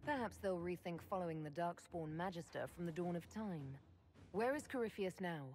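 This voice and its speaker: A woman's voice: fairly low pitch, moderate pace, darkish tone, lightly acted. An actress playing a resolute, commanding female leader in a video game, composed and direct. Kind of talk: video game cutscene acting.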